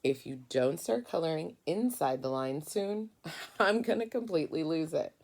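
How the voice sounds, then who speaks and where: A fussy storybook crayon , read out by a woman, exasperated and insistent. The voice is fairly low and creaky, with the anger of someone who badly wants things tidy. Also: expressive, moderate pace, a little mellow. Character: fussy, neat purple crayon, angry and near breaking.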